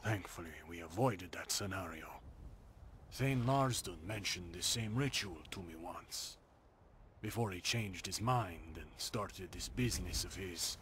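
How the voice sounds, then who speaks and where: A man's voice: low-pitched, a little slow, noisy background. A man playing a sober, dutiful older soldier in a video game, speaking formally and with measure. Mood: grave, reflective. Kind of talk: video game character dialogue.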